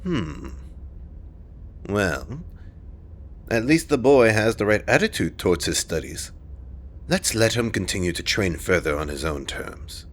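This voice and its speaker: A man's voice: fairly low pitch, sing-song, a little slow, pauses often. A man playing a haughty, disdainful king in a fantasy audio drama, with a pompous, condescending manner. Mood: imperious. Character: haughty, disdainful king, imperious and old-fashioned.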